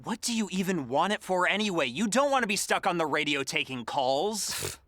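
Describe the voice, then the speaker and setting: A woman playing a put-upon, quietly scheming mayoral aide in a comedic audio drama, deadpan and deliberate. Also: on the low side, no echo, studio quality. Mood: dryly disapproving.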